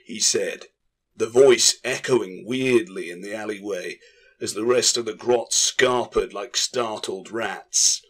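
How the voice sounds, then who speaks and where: Slow, low-voiced male fantasy narrator. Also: a bit bright. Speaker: audiobook narrator. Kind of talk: dramatic scripted fantasy narration.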